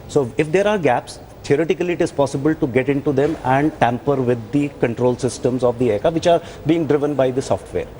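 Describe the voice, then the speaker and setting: A man's voice: medium pitch, fairly quick, few pauses, warm tone, a little hiss or hum. An older man with an Indian accent giving careful, qualified expert answers in an interview, repeating points for emphasis. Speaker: retired air force officer, expert guest.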